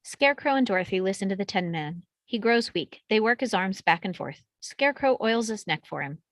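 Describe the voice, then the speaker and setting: A woman's voice: mid-range voice, lively intonation, fairly quick, no room sound. A woman reading out action and camera directions from a film script as scripted narration at a table read. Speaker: reader of stage directions.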